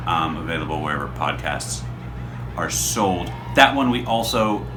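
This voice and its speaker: A man's voice: sits low, expressive, moderate pace, music in the background. A man hosting a podcast, explaining his show in a casual, rambling, self-deprecating way. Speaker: podcast creator and host.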